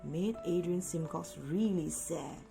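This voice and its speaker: A woman reading a children's picture book aloud in an expressive storytelling way. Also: lowish voice, creaky. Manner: deliberate, animated storytelling. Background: music.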